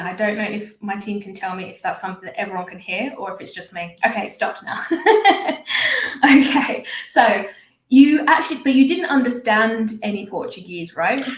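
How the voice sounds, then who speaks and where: A woman's voice: medium pitch, lively intonation, moderate pace, roomy sound, rough recording. A woman hosting a live-stream interview as a language teacher, upbeat and chatty. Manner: upbeat, chatty, laughs often.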